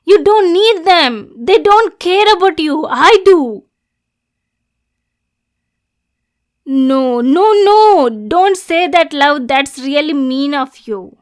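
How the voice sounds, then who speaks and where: A woman's voice: high-pitched, animated, takes it slowly, few pauses. A woman playing an obsessive, lovesick demon girl in an ASMR roleplay, clingy and possessive, addressing the listener directly. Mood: frantic, furious. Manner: breathy, clingy, swinging between tender and domineering.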